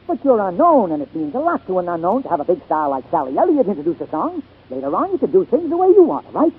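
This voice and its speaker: A man's voice: high-pitched, wildly swooping pitch, quick pace, breathy edge, full character voice. An American man playing a brash, wheedling music publisher, slick and pushy, in 1940s radio drama style. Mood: placating. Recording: tinny, lo-fi 1940s radio broadcast.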